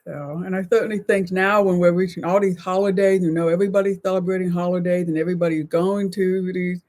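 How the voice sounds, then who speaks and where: An older woman co-hosting a casual live chat, explaining things in a measured, supportive way. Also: on the low side, steady tone, a little mellow. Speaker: co-host of a live community chat show.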